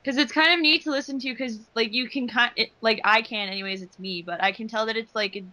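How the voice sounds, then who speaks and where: A woman's voice: a little high, brisk, pushed and bright, dry recording. A woman talking informally as a voice actress and editor on a casual commentary track. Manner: informal, peppered with "like".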